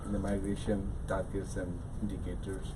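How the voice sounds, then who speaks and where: A man's voice: deep voice, monotone, normal speed. A man with a Bangladeshi accent, an activist for migrants' rights, speaking hesitantly with many fillers at a conference. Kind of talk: conference remarks. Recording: distant mic with background noise.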